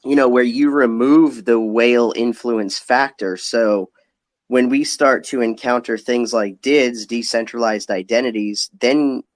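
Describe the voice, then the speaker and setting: A man's voice: mid-range voice, steady tone, unhurried, no room sound. A man giving casual, explanatory answers as a company guest in an online audio interview. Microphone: online audio chat.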